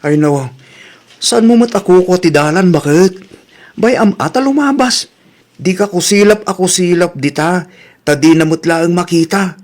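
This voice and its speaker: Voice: mid-range voice, expressive, normal speed, a little mellow, lightly acted. An actor playing a brash, teasing, inquisitive neighbor with an Ilocano accent in a comedy drama, full of questions and exclamations. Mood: scolding.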